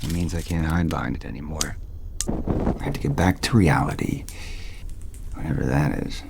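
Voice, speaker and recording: A man playing a tentative, weary romantic lead in an audio soap opera, speaking in a halting, intimate way. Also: extremely low pitch, gravelly, heavy background noise. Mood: wistful. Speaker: actor.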